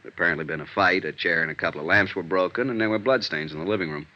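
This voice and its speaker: An American actor playing a gruff, matter-of-fact police detective sergeant in a vintage radio drama, reporting in terse deadpan. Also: male voice, low-pitched, rapid-fire. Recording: muffled vintage radio recording.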